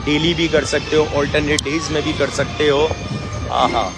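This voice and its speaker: A man's voice: on the high side, fairly even intonation, moderate pace, over loud music. A man with a sore throat, hosting a live walking devotional stream and earnestly urging viewers in a conversational way.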